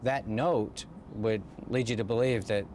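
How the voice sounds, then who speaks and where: A man's voice: fairly low pitch, fairly even intonation, a little slow, a little mellow, a little hiss or hum. A man with an American accent, a police lieutenant explaining a case in an interview.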